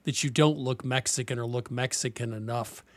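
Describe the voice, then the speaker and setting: A man's voice: medium pitch, steady tone, normal speed, no room sound. A man hosts a radio interview, asking questions in an easy, curious way. The recording is dry and close, with no room sound. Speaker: radio show host.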